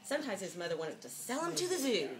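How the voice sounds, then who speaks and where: Woman, very lively intonation, hammy storybook reader with a sardonic, giggly streak; noisy homemade recording. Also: mid-range voice, quick pace. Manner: jokey, giggly, sardonic commentary. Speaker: reader of a picture book. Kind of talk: mock-dramatic storybook reading with amused asides. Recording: noisy amateur home video sound.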